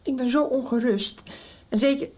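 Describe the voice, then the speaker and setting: An actress playing a shaken, insistent middle-aged mother, agitated and halting, in a radio soap. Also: high voice, steady tone, darkish tone. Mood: shaken, anxious. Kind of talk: Dutch radio soap acting.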